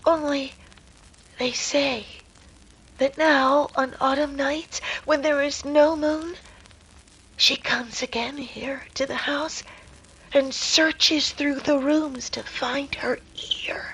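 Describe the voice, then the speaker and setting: A woman playing a solemn lady who recounts eerie legends in a hushed, dramatic way, in a 1930s-style radio drama. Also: high-pitched, steady tone, slow, exaggerated voice, rough recording. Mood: haunting, solemn. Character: Miss Nixon, eerie solemn woman telling a ghost legend.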